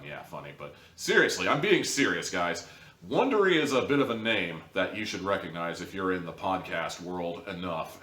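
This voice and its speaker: A man's voice: deep voice, pushed and bright. A man giving a casual, opinionated review to his audience, conversational and emphatic, with wry asides. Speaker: audio drama reviewer, show host. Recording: roomy, distant microphone.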